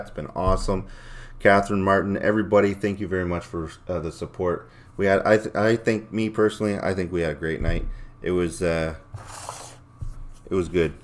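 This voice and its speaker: Deep-voiced man rambling on a livestream. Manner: rambling, blunt and profane. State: voice a little worn, losing it. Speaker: livestream host. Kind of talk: casual livestream sign-off chat, reading chat comments aloud.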